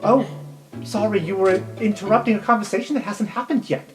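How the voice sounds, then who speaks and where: A woman's voice: mid-range voice, fairly quick. A woman casually narrating her moves as a player in an improvised tabletop game. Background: music.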